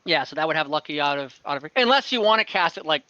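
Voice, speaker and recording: A man runs an online tabletop session as game master, working through rules aloud with frequent restarts and fillers. His voice is bright and a little high, and the dry home mic gives it a dull lo-fi edge. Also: expressive, fast talker, no room sound. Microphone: home mic on an online call.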